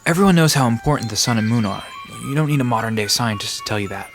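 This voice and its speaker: A man's voice: mid-range voice, animated, fast talker, soft background music, natural voice. A man playing a laid-back, bookish park resident in an audio drama, casually explaining myths with a dry manner. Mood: reassuring, explanatory. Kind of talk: conversational audio drama storytelling.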